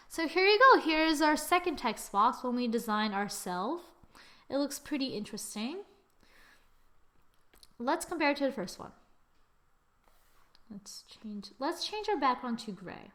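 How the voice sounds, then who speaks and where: A woman with a slightly high voice talks through a screen-recorded software tutorial at her computer. She thinks aloud, corrects herself often and tosses in small exclamations as she works. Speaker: tutorial host.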